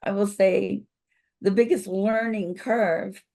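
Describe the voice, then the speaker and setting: Older woman, froggy voice, very slow pace, many pauses, video call guest. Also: medium pitch, steady tone, gravelly, warm tone. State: froggy voice from illness. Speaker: company founder, guest. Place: home office with bookshelves.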